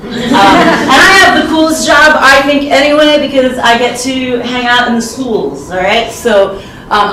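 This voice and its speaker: A woman with a loud voice, a school outreach coordinator, giving a lively, chatty panel talk without a microphone. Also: fairly high pitch, slight background noise. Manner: lively, chatty, self-deprecating. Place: conference room. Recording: echoey room, distant from mic.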